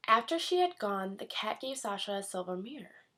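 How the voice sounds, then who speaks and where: A woman reading a children's storybook aloud in an expressive scripted narration style. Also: mid-range voice, moderate pace. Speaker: storybook reader.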